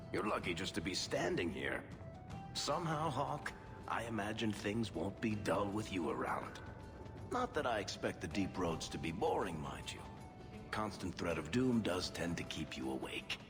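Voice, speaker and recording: Casting: sardonic roguish game dwarf, chatty, low but lively voice, music behind. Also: male voice, a little slow, full character voice. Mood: amused, wry. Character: wry roguish male dwarf storyteller adventurer. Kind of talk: video game character acting.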